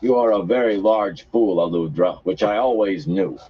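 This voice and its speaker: A man's voice: low-pitched, moderate pace, husky. A man voicing a grim, menacing male guild enforcer with a foreign-sounding accent, mocking in tone, on a muffled lo-fi recording.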